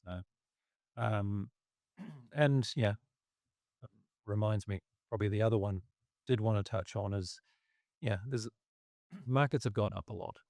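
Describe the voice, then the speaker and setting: A man, losing his voice, talking through markets in a casual, halting way and clearing his throat often. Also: on the low side, a little mellow, no echo. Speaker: investment commentator.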